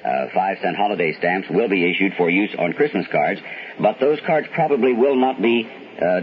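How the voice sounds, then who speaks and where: A man playing a 1970s radio newscaster, reading bulletins in a measured, formal way with some hesitation over his copy. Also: deep voice, close to an everyday voice. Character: steady male radio newsman reading bulletins. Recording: dull, noisy vintage 1970s radio broadcast.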